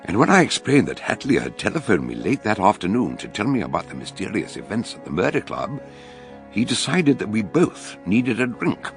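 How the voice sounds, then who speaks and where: An actor playing a shrewd, courteous older British detective in a radio drama, speaking in a ponderous, theatrical way. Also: male voice, fairly low pitch, huge pitch swings, fairly quick, soft background music. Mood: grave storytelling. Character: shrewd, grave, genial older male detective. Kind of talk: radio drama acting and narration.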